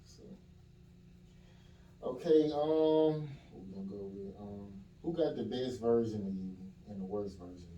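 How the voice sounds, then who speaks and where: A man's voice: mid-range voice, normal speed, gravelly, warm tone, muffled recording. A man hosting a casual discussion, asking probing questions in informal, slangy speech. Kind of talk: casual chat. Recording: echoey room, distant mic, noisy ambience.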